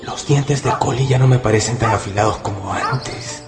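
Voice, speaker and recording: A man playing a loyal, wary wolf-dog thinking aloud in a radio drama, with a raspy voice. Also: fairly low pitch, moderate pace, close to an everyday voice. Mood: pensive, wondering. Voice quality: husky, raspy. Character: male wolf-dog voicing his thoughts, loyal and wary. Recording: long reverb, music behind.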